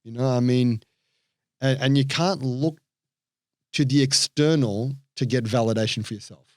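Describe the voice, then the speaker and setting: A man with an Australian accent tells his stories with energy as a podcast guest, slipping into the voices of the people he quotes. His pitch sits in the middle range. The sound is dry and close to the mic. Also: normal speed, no room sound. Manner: animated storyteller, acts out quoted voices. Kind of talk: storytelling interview chat.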